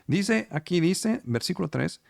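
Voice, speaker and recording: A man's voice: high voice, animated, a little slow, vocal fry, darkish tone, dry recording, clean studio sound. A man preaching and teaching the Bible over a video call, speaking Spanish as a second language with emphatic repeated words and frequent check-ins with his listeners. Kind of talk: online sermon and Bible study. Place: home, online service.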